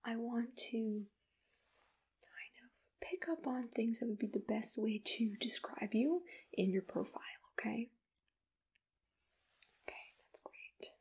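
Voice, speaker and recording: Slow, whispering breathy ASMR woman. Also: a little high. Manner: gentle, reassuring, softly attentive. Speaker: ASMR roleplay host as a monster matchmaker. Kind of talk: soft whispered ASMR personal attention roleplay.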